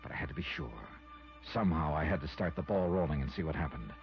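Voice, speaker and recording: A man's voice: very deep voice, animated, fast. An American man playing a shrewd, world-weary insurance investigator in a 1950s radio detective drama, with clipped hard-boiled narration. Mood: brooding, intent. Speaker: lead actor and narrator. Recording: muffled old radio recording with music.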